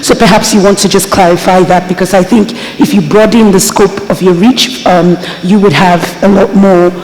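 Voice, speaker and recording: A woman's voice: medium pitch, fairly even intonation, a little mellow. Encouraging woman judge, echoey hall. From Nigeria. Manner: measured, encouraging, with uhs. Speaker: panel judge. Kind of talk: giving feedback at a summit. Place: large conference hall.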